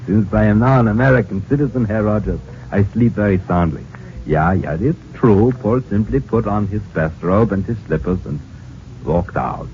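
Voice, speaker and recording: An actor playing a formal, deliberate German émigré professor with a German accent in an old-time radio drama. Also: male voice, lowish voice, close to an everyday voice. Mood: matter-of-fact. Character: German émigré male scientist, measured and secretive professor. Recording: tinny, noisy old radio recording.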